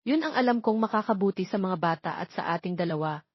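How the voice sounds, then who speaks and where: A woman voicing a guarded young mother in a romance audio story, restrained and gentle. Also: medium pitch, animated, fast talker, breathy edge, no room sound, muffled recording.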